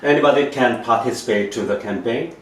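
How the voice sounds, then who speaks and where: A middle-aged man whose first language is Korean, interviewing guests in halting English. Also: mid-range voice. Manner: halting English, frequent listening murmurs. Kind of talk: casual interview questions. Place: living room indoors. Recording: roomy echo, distant mic, faint noise.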